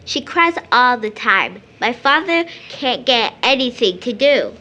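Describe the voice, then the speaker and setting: A woman's voice: a little high, lively intonation, unhurried, pushed and bright. A female performer playing a wistful, devoted little girl in an audio drama, speaking with childlike earnestness. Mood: wistful, earnest. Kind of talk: stage play audio drama acting.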